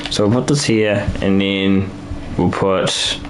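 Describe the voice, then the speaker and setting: Man, slightly low voice, going hoarse, rambling casual stream chat, faint hiss behind. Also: steady tone, takes it slowly, poor audio. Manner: rambling, thinking aloud with many restarts and fillers. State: losing his voice. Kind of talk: casual live-stream talk while building.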